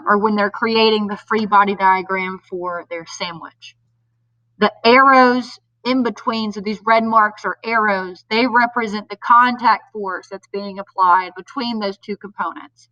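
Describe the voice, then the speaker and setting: A young woman teacher talks parents through a homework task, her voice nasal from a cold but bright and sharp. She explains patiently, step by step, with plenty of ums. The sound is dry and a little dull, as if she sits back from the mic. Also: mid-range voice, dry recording, far from the mic.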